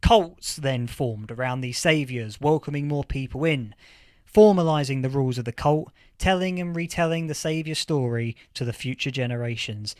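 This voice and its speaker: A man playing a thoughtful, earnest history professor in an audio drama interview, explaining things with halting, deliberate emphasis. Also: mid-range voice, no echo, clean studio sound, natural voice. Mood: earnest, lecturing. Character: male history professor of a post-apocalyptic future, thoughtful, earnest. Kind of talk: acted interview, lecturing explanation.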